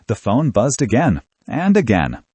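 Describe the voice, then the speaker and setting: A man reading a scripted novel aloud in a wry, animated narrator's style. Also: mid-range voice, unhurried, slightly rough, no room sound. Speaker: audiobook narrator.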